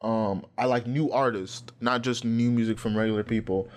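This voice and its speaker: A man's voice: mid-range voice, fairly even intonation, moderate pace. A man hosting a podcast in casual chat, rambling with lots of fillers and swearing. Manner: rambling, profane, filler-heavy. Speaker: podcast host.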